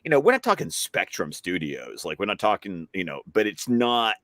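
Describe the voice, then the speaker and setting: Animated man with a lively, swinging pitch. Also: normal speed, no echo, clean studio sound. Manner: animated, jokey, playful asides. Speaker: co-host of a watch-along stream. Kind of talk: casual commentary chat.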